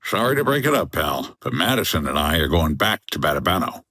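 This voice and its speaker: Casting: actor as hardboiled 1950s American man, low pitch, gruff, wry hotel owner for a radio mystery. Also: very animated, a little fast, bright, forceful tone, no room sound, full character voice. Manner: dry, hardboiled. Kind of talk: old-time radio detective acting.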